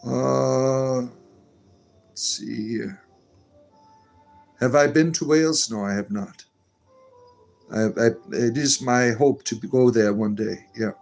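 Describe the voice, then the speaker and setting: A man's voice: mid-range voice, slow pace, gravelly, long pauses, darkish tone, soft background music. Chatty man reading live comments. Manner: reads comments aloud and answers them in a meandering, chatty way. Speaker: livestream host reading viewer comments. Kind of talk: casual live-stream chat.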